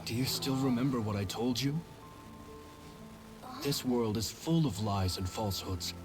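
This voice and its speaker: A man playing an earnest, theatrical young magician in a video game, measured and secretive. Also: mid-range voice, music in the background, close to an everyday voice. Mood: wistful, resolute. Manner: measured, poised, philosophical.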